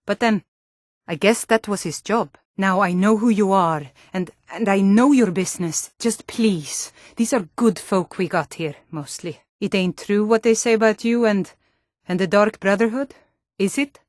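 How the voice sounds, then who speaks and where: A stern warrior woman of the city watch, acted by a woman for a fantasy video game. She is blunt and guarded, speaking plainly at a middling pitch. The recording is close and very dry. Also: a little slow, no room sound, close to an everyday voice. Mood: grave, wary. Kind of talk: video game character voice lines.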